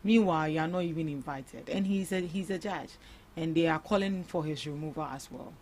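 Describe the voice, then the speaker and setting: A woman's voice: low voice, fairly quick, a little mellow. A young woman with a Ghanaian English accent, co-hosting a breakfast radio show, reading out headlines and discussing them.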